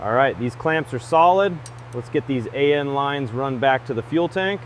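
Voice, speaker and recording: A man's voice: mid-range voice, expressive, moderate pace, slight background noise. A man working as a car restoration mechanic, calling out each step in short, clipped, procedural phrases. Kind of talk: short step-by-step workshop commentary.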